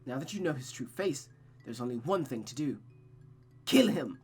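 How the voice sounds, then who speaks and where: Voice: mid-range voice, lively intonation, normal speed, darkish tone, soft background music, close to an everyday voice. A performer voicing a cold, manipulative mother who coaxes and dominates her child with silky endearments in a visual novel. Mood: menacing.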